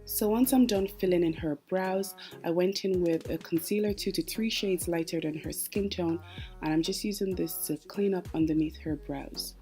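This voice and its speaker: Young woman, low mellow voice, chatty makeup voice-over, soft music behind. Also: normal speed. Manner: chatty, explains each step. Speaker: makeup artist.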